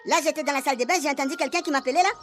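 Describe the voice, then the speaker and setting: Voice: high-pitched, rapid-fire, lightly acted. A performer playing a puzzled, insistent young housemate in a comic radio serial. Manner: bewildered, insistent. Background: music.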